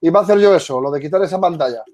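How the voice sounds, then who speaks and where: A man's voice: a little high, racing, darkish tone. A man in his thirties hosting a webinar interview over a video call, asking questions in a friendly conversational way. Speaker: webinar host. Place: indoor office.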